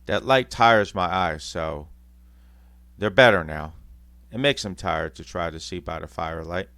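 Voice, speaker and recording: Gentle grieving mother, a man reading her, soft and restrained, low voice. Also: measured pace, dry recording. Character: grieving gentle mother Mrs Cratchit, quiet.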